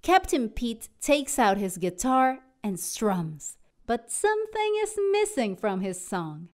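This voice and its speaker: A woman narrating a children's storybook aloud in an expressive, dramatic way. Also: a little high, takes it slowly. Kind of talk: animated children's read-aloud.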